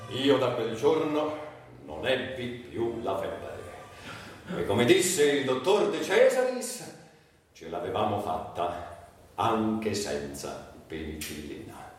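A grieving, reflective man played by a male actor delivers an intimate theatre monologue in a deep voice. His phrases come halting and broken. The sound is distant and heavily reverberant, like a stage heard from afar. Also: huge pitch swings, heavily acted. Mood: brooding, anguished. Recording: very echoey distant stage recording, faint noise.

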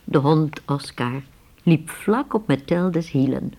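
Woman narrator, very low pitch, husky, lively intonation, dramatic radio storytelling. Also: slow pace.

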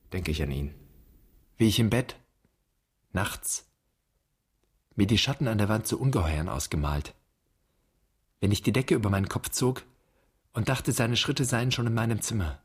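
A man's voice: fairly low pitch, animated, moderate pace, long pauses, breathy edge. An actor playing a brooding grown son haunted by a father he never knew, speaking an introspective radio drama monologue. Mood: haunted, reflective.

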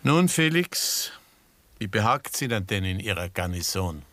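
An actor playing a proud, principled doctor in a German radio drama, speaking with formal, dry politeness. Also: male voice, lowish voice, very animated, unhurried, fairly bright tone, no room sound.

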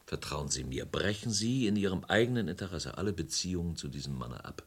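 Low-voiced, insistent police official. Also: male voice, animated, fairly quick, heavy background noise. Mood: firm, official. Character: courteous, firmly pressuring male police official. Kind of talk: German radio crime drama acting.

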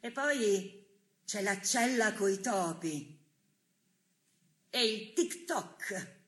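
An actress playing a worn but principled imprisoned woman dissident, deliberate and solemn, in stylized stage theatre. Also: medium pitch, expressive, fairly bright tone. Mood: grave, haunted.

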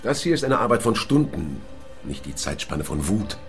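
An actor playing a reflective, erudite police inspector with a pedantic manner in a radio crime drama. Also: male voice, lowish voice, sing-song, moderate pace, faint music behind. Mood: pensive, dry.

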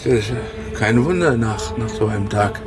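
An actor playing a mild, inquisitive, slightly awkward neighbour in a radio crime drama, speaking politely and haltingly. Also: male voice, lowish voice, music in the background, lightly acted. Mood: curious. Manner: hesitant, stammering, polite. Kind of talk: naturalistic German radio crime drama acting.

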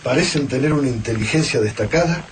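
A man's voice: mid-range voice, fast, natural voice. A man playing an analytical survivor in a science-fiction radio drama. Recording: distant mic, clear background noise.

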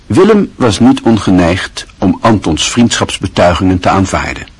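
A man narrating a literary radio drama in a measured, even storytelling manner. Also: sits low, breathy edge.

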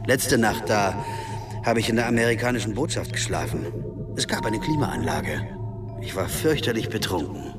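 An actor playing a hesitant, understated young architecture graduate abroad in a German radio drama. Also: male voice, on the low side, animated, normal speed, lightly acted. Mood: confiding. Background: music behind the voice.

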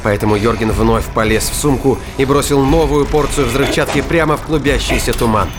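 A man reading scripted narration of a science fiction thriller as a dramatic, deliberate storyteller. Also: medium pitch, very noisy. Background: music and battle sound effects.

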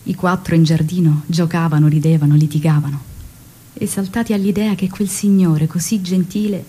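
An actress playing a tender, grieving widow of a revolutionary, recalling the past in an intimate, measured stage monologue. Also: female voice, lowish voice, fairly even intonation, mellow, breathy edge. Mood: tender recollection. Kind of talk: theatrical dramatic monologue. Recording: music behind the voice.

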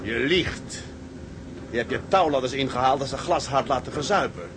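Man acting a grave male sea captain, righteous, terse and solemn, mid-pitched, noisy ship ambience. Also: moderate pace, natural voice. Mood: accusing. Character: grave, righteous ship's captain with moral conscience. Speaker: actor in a Dutch maritime drama. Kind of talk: dramatic seafaring acting.

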